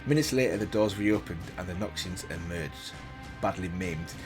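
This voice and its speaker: A man's voice: deep voice, moderate pace, over music. A man hosting a reaction video, reading a story aloud in a casual way with slips and self-corrections, remarking on it as he goes.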